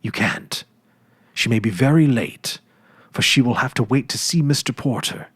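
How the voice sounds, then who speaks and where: A man voicing a gentle sixteen-year-old farm girl in a storybook, a caring elder sister who speaks softly and patiently with quiet firmness. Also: medium pitch, normal speed, breathy edge, no room sound, close to an everyday voice. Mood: gently firm. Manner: soft, patient, gently firm.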